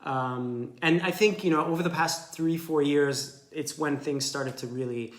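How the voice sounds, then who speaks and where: A man in his thirties from Canada, chatting casually and speaking haltingly, with many fillers and repeated words. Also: fairly high pitch. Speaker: co-host of a live stream. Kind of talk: casual chat. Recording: echoey room.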